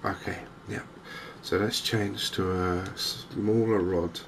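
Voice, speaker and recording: Soft spoken asmr gamer guy, gravelly and pretty monotone, thinking out loud, ambience going behind him. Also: low voice, slow pace. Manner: hushed, soft-spoken, hesitant thinking aloud. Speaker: gaming video host. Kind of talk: softly spoken ASMR game commentary. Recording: noticeable background ambience noise. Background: game ambience.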